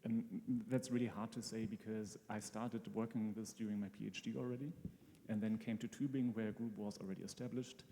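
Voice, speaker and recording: A man speaking as a guest expert, explaining technical things in a measured way in a live interview. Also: lowish voice, fairly even intonation, dark tone. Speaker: brain-computer interface researcher, guest expert. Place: large hall at a live event. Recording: hall reverb, faint music behind.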